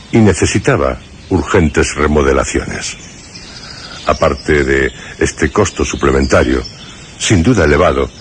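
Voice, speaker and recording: Very deep, solemn suspense storyteller. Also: male voice, a little hiss or hum, exaggerated voice. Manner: measured, literary, solemn. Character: grave male narrator recalling a troubled friend in suspense tale. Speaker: narrator actor. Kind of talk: scripted radio drama narration.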